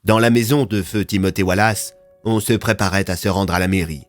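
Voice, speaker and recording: A man's voice: sits low, steady tone, brisk, soft background music. A man narrating his audio fiction from a script as its author.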